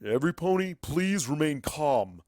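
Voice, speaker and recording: A man voicing an authoritative royal guard pony, making loud, drawn-out announcements in a storybook reading. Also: on the high side, lively intonation, no room sound, clean studio sound, pitched above the performer's own voice. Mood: commanding, controlled.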